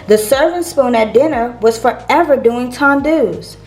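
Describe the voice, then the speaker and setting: A woman's voice: a little high, measured pace, a little mellow, faint music behind, rough recording. A woman reading a children's picture book aloud as a storyteller.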